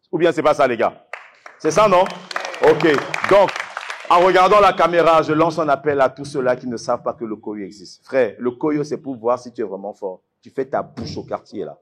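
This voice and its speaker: A man's voice: mid-range voice, expressive, moderate pace, fairly bright tone, slight background noise. A man with an Ivorian accent, working as master of ceremonies at a fight event, hyping up a live audience with emphatic, repeated phrases.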